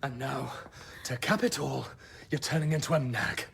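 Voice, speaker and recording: An actor playing a proud, irritable doctor who drinks, in a radio drama, husky and snappish with his wife. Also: mid-range voice, lively intonation, normal speed, noisy background. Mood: furious. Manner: snappish, scornful, defensive. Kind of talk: naturalistic radio drama dialogue.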